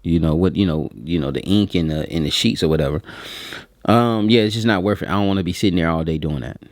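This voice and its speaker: A man with a low voice speaks in a dry-sounding space, as if swapping stories with friends. His delivery is informal and meandering, full of slang, and he voices both sides of remembered conversations. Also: fast talker, no echo. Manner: slangy, conversational, acts out quoted dialogue. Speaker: print shop owner telling a story to viewers. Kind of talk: casual rambling storytelling vlog.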